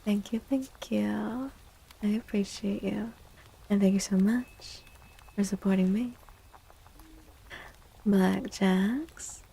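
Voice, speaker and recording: A woman livestreamer doing soft-spoken ASMR, gently chatting to viewers in a hushed voice that often slips into whispers, close to the microphone. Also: medium pitch, vocal fry, husky, poor audio. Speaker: livestreamer hosting an ASMR stream.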